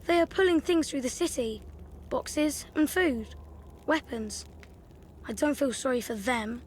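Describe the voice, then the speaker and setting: Childlike young boy played by an actress, high voice, wistful game role, slight background noise. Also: expressive, unhurried, darkish tone. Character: innocent little brother, immortal child statue. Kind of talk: video game character acting.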